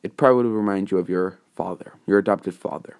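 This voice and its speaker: A man voicing a mild, gravelly storybook headmaster. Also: low-pitched, quick pace, darkish tone. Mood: reassuring.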